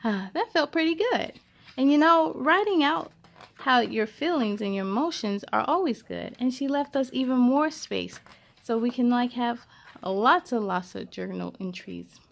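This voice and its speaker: A woman's voice: fairly high pitch, expressive, unhurried, vocal fry, distant mic. A woman hosting a children's read-aloud, speaking enthusiastically and encouragingly straight to young listeners, with chatty asides. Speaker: host of a children's read-aloud story series.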